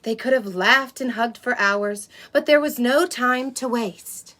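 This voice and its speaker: A woman's voice: on the high side, unhurried, a bit bright. A woman playing a sweet, wide-eyed unicorn who hosts story time for children, with cooing wonder and exaggerated emphasis. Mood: storytelling wonder. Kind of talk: animated picture-book reading for children.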